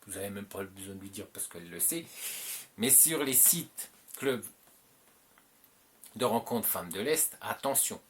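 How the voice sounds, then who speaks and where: A man's voice: on the low side, expressive, a little slow, pauses often. A French-speaking man hosting his channel, giving advice in a casual, rambling monologue full of fillers and repeats. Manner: rambling, repeats himself, many filler "euh"s.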